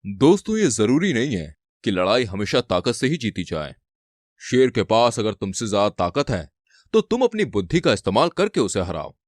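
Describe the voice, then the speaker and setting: Wise old cartoon elephant played by a man: low, kindly, calm and measured, close dry sound. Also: dry recording. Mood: wise, encouraging. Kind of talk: animated moral-story cartoon voice acting.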